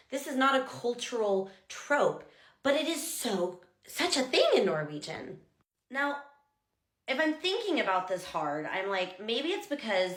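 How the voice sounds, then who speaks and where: A woman's voice: medium pitch, fairly bright tone, echoey room. A chatty American woman and language teacher, explaining things casually and exaggerating sounds to demonstrate them. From United States. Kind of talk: casual talking-head explainer.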